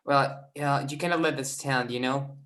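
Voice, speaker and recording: A man's voice: medium pitch, steady tone, moderate pace, fairly bright tone, lightly acted. A man playing cocky gang leader, echoey room. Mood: taunting. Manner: goading, slangy, mixes in Spanish. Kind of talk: screenplay table read.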